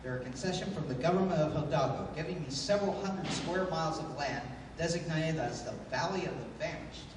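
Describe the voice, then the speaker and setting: A man's voice: on the high side, natural voice. Man playing commanding pulp hero in echoing hall. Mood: grave, resolute. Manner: formal, measured, declamatory. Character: commanding, idealistic pulp adventure hero, a man, calm leader. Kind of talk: 1930s radio adventure acting. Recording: echoey hall from far off, background noise.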